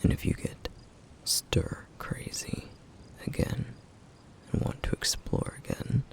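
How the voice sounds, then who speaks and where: A man playing a brooding, protective flame demon in an ASMR roleplay, speaking in a grave, breathy, gravelly near-whisper with deliberate pauses. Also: close to an everyday voice. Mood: concerned, weary. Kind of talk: ASMR roleplay, intimate scripted monologue.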